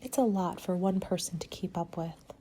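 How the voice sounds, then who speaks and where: A woman doing a soft-spoken ASMR roleplay as a helpful party planner, gentle and measured, often slipping into a whisper. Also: fairly low pitch, a little hiss or hum.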